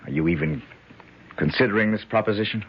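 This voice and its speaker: An actor playing a loyal, blunt political aide in a radio drama. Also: male voice, on the low side, fast talker, creaky, breathy edge. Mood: urgent. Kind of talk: 1970s radio drama acting. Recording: dull old radio broadcast.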